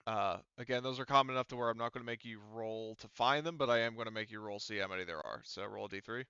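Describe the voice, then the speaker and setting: A man runs a tabletop game in a smooth, bright voice. He thinks aloud as he makes casual rulings, often pausing and restarting. The sound is dry but a little muffled at the top. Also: lowish voice, no echo. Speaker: game master.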